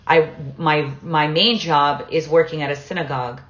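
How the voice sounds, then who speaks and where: A woman talking personally and conversationally, haltingly rephrasing herself mid-sentence as she goes. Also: low voice, fairly bright tone, reverberant, highs cut off. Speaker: interview participant, writer and parent.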